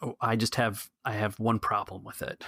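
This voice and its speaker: A man playing an earnest cantina keeper in a tabletop space adventure, urging rebellion in a halting, persuasive way. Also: on the low side, level tone, bright, forceful tone, breathy edge, no echo, exaggerated voice. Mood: conspiratorial, earnest.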